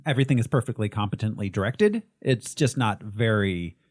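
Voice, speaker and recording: A man's voice: on the low side, animated, brisk, no echo, studio quality. A man co-hosting a casual movie podcast, listing a director's films with frequent ums and small laughs. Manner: rattles off lists with many ums and laughs. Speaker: podcast co-host.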